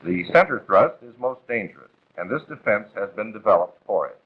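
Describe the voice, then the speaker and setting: Through a thin, band-limited vintage film soundtrack comes an American man with a lowish, rough-edged voice. He reads scripted 1940s training narration, formal and authoritative, instructing step by step. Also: animated, a little fast, narrow, tinny audio.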